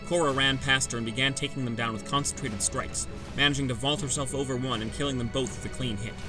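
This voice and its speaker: A man with a medium-pitched voice narrates a fantasy audiobook in the first person. Music plays clearly behind his scripted reading. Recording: loud music bed.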